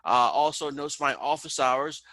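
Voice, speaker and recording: A man's voice: a little high, normal speed, dry recording. A man teaching a college course online, calling the roll and walking through the syllabus with frequent fillers. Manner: relaxed, many "uh" fillers, calling out names. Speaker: college instructor. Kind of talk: online class intro and syllabus walkthrough. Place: online video class.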